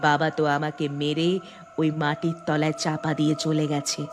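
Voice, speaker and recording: A woman voicing the grim, vengeful ghost of a murdered village girl in an animated horror story, speaking slowly and plaintively. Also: low voice, heavy background noise, lightly acted. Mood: eerily calm.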